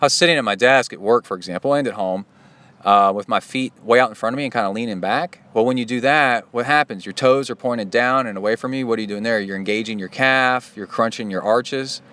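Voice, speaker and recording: A man's voice: medium pitch, a little fast, a bit bright. A middle-aged man hosting a podcast outdoors in a park, talking in a loose, chatty way with jokey asides. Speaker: podcast host and triathlon coach. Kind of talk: casual rambling podcast monologue.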